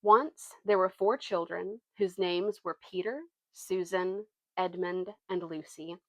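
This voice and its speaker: A woman's voice: on the low side, steady tone, slow, a bit bright, dry recording, low-quality recording. Woman reading a storybook aloud, dry sound. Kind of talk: expressive read-aloud narration.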